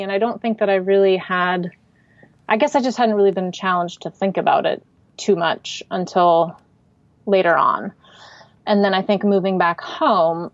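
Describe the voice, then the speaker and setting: A woman's voice: medium pitch, dry recording. Thoughtful woman, dry and slightly muffled. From Pacific Northwest, United States. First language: Swedish. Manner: reflective, thoughtful, with frequent fillers. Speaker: podcast guest, writer and artist. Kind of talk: casual interview conversation.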